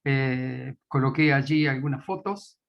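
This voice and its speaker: A man's voice: medium pitch, a little slow, gravelly, dull audio. A man with an Argentine Spanish accent introducing a guest at a church meeting, hesitant, with many fillers. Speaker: meeting host.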